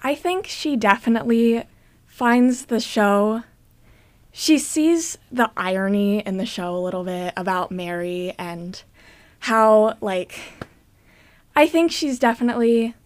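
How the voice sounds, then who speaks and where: A woman's voice: on the high side, slow, dry recording. A teenage girl answering interview questions casually as a cast member, recorded close and dry.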